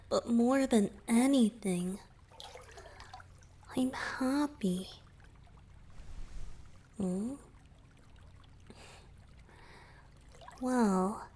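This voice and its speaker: A woman voicing a gentle, playful young merman boyfriend in a romantic ASMR roleplay, soft and breathy, often near a whisper, tender and softly teasing. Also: mid-range voice. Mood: contented, then wistful, curious.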